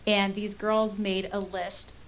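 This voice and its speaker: A woman in her thirties with an American accent, co-hosting a podcast in a casual, animated, chatty way. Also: mid-range voice, takes it slowly, poor audio. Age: 30s. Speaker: podcast co-host. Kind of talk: casual chat, reading out and reacting to listener comments. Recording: clear background noise with hiss.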